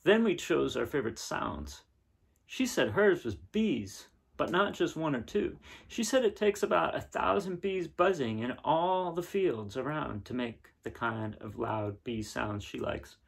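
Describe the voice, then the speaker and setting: A man in his thirties reading a picture book aloud in a casual, unhurried way with pauses between phrases. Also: on the high side, animated, vocal fry, breathy edge. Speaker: reader of children's picture books. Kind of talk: gentle read-aloud storytelling and casual chat. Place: small living room indoors, seen on camera.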